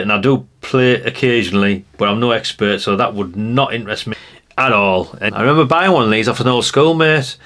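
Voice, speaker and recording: Male, middle-aged, British accent, slightly deep voice. Informal hobbyist vlogger, chatty and unscripted, meandering delivery. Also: fairly bright tone. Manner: rambling, chatty, addresses viewers informally. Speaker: vlogger showing vintage CB radios and catalogues. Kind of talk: casual unscripted show-and-tell chat.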